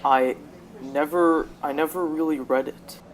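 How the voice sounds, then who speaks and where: A man's voice: fairly high pitch, steady tone, measured pace, close to an everyday voice, poor audio. A young man acting in a radio drama as a defensive, troubled young company executive. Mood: hesitant, flustered. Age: teenager.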